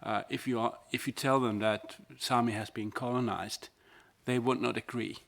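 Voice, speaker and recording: A man's voice: mid-range voice, fairly even intonation, normal speed, vocal fry. A man from Finland speaking as a panelist in a plain, explanatory way. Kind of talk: panel discussion.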